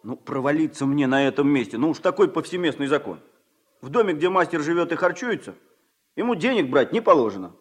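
An actor playing a genial, jaunty travelling carpenter in a radio play, with colloquial, coaxing speech. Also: male voice, fairly high pitch, very animated, a little fast. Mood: jaunty, persuasive. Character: genial, folksy travelling carpenter lodging in a village. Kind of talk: Soviet radio play acting.

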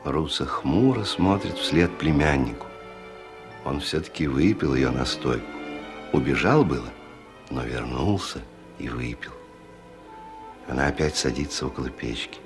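An actor as a grave, compassionate storyteller, narrating a radio play in a reflective, intimate way. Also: male voice, a bass voice, lively intonation, unhurried, long pauses, over music, exaggerated voice. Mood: somber. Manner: reflective, intimate, addressing the characters. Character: grave, compassionate male storyteller, musing on villagers.

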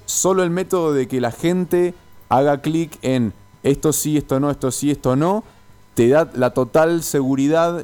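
A man's voice: medium pitch, a little mellow, clear, resonant tone. Argentine radio guy, buenos aires accent, mid voice, long roundabout questions, informal interview. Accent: Buenos Aires Argentine Spanish. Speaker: radio host.

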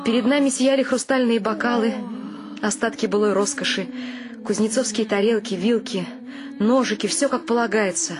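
A woman's voice: a little high, moderate pace, crowd noise, close to an everyday voice. An actress playing a wry woman narrator who tells her eccentric family's story in a radio play. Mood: wry, dreamy.

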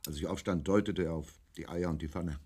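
Deep-voiced, grumbling fellow. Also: male voice, a little fast, darkish tone, breathy edge. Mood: deadpan. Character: grumbling, puzzled, earnest low-voiced man. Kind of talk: German radio play acting.

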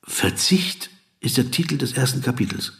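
An actor in a radio play voicing a polite, persistent male interviewer who probes, flatters and gives deliberate literary asides. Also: medium pitch, gravelly. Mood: probing, pleading. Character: persistent, courteous male interviewer, flattering, observant, narrating into dictaphone.

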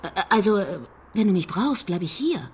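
A woman acting a caring, earnest female companion in a radio play, with a medium-pitched voice. She speaks a little hesitantly, with some ambient noise behind her. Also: expressive, moderate pace, a little mellow, natural voice.

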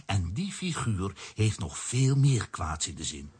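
Casting: storyteller for kids' audio drama, husky lower register, highly animated narration. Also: male voice, slight background noise. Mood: storytelling. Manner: measured storytelling. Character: storytelling male narrator of a comic adventure.

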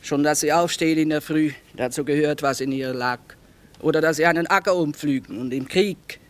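A woman's voice: low voice, fairly quick, natural voice. A tough, unsentimental female war trader played by an actress. She talks in an earthy, dry, matter-of-fact way, in classic radio drama style. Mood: sober, bitter. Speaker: actor in a radio play.

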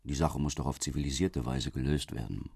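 Very deep, gravelly menacing police heavy. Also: male voice, a little fast, darkish tone, no room sound, clean studio sound, heavily acted. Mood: smoothly menacing. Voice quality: gravelly, husky. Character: male police heavy, smoothly menacing, falsely civil. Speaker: actor. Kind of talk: radio crime drama dialogue.

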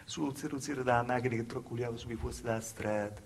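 Man playing a plaintive Calabrian village woman, gravelly. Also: medium pitch, steady tone, quick pace, lightly acted. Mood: pained, stunned. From southern Italy, Calabria. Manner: plaintive, repetitive storytelling with swings in intensity. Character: humble, wronged southern Italian village woman recounting her suffering. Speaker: stage actor performing a monologue. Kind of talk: theatrical monologue in dialect. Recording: live stage performance with music. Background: live music.

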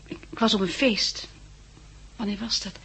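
A woman's voice: mid-range voice, steady tone, moderate pace, a bit bright, natural voice. Actress doing shaken young lady confiding in an old radio play, midrange voice, bit of noise on the tape. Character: shaken, grateful young woman, well-bred and candid. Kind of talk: 1960s radio drama acting. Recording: noisy 1960s radio recording.

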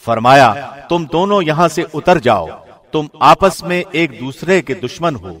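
A man reading a scripture translation from a script in a measured, formal announcer's delivery. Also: a little high, lively intonation, fairly bright tone. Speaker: translation reader.

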